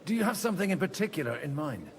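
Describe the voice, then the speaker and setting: Young medieval envoy, courteous, earnest, expressive pitch swings, video game dialogue, light background noise. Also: male voice, very fast. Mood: persuasive.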